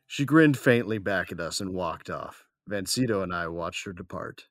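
A man's voice: deep voice, animated, normal speed, no echo, studio quality. A man playing a cocky, battle-weary young gunslinger, narrating and talking in a wry, casual, slangy way in an audio drama. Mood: teasing. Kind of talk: first-person audio drama narration and dialogue.

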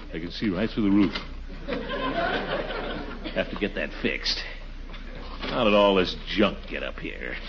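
An actor playing a pompous, excitable middle-aged householder who mutters and exclaims theatrically in a 1940s radio comedy. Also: male voice, lowish voice, lo-fi sound. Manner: muttering to himself, theatrical exclamations, chuckling bluster. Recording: noisy, band-limited 1940s radio recording.

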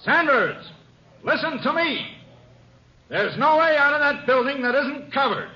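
An echoing, muffled vintage radio recording. a stern cop on a loudspeaker, declaiming slowly in a strained, very high, piercing shout. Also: male voice, expressive, exaggerated voice. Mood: stern, demanding. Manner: loud, slow, declamatory. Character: stern policeman shouting an ultimatum through loudspeaker. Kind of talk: 1950s radio drama acting.

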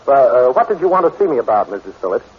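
Wry, good-hearted rogue hero, husky and animated, 1940s radio drama with muffled sound. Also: male voice, medium pitch, quick pace. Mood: wry, easygoing. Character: suave, wry adventurer hero, good-hearted former thief. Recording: dull 1940s radio recording.

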